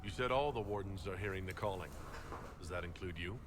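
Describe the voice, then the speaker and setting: A man as a low-voiced commander amid loud noise. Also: fairly quick, close to an everyday voice. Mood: serious, probing. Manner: serious, questioning. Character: direct, probing male fantasy commander. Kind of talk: fantasy game acting. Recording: very noisy game scene.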